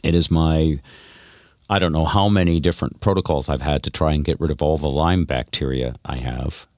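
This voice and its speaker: A man hosting a casual radio show, bantering in a wry, deadpan way. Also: a bass voice, normal speed, dry recording, studio quality.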